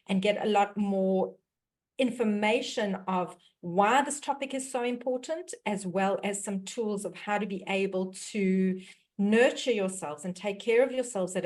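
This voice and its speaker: A woman with a South African accent hosts a webinar over a video call. Her voice sits at a middle pitch, and she talks in long, earnest sentences that run on. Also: a little mellow. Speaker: webinar host, dietitian. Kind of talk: webinar introduction.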